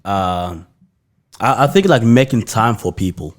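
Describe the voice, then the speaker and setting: A man's voice: fairly low pitch, animated, a little slow. A man chatting on a podcast, telling a story with energy and saying "bro" a lot. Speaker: podcast co-host. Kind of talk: casual storytelling chat.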